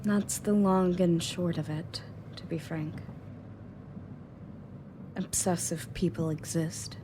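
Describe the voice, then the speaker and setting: A woman's voice: lowish voice, steady tone, takes it slowly. A woman playing a confident, matter-of-fact rescue agent in an audio roleplay, speaking conversationally to the listener. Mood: casual, wry. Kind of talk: scripted audio roleplay, in-character monologue to listener. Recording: clearly audible background noise and ambience.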